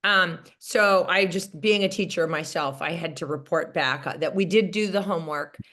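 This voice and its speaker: A woman with a fairly low voice tells a story on a group call. She talks in a chatty, wry way with plenty of fillers. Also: normal speed, a bit bright. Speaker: caller, teacher. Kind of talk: sharing on a coaching call.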